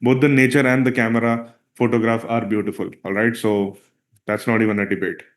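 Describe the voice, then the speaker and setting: A man, a Marathi speaker, teaches a live online session with a medium-pitched voice. He talks loosely and conversationally, leaning on "uh" and asking "all right?" to keep his audience with him. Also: flat delivery, a little fast. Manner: conversational, frequent "all right?" checks and "uh" fillers. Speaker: filmmaker and workshop presenter. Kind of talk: live online workshop talk.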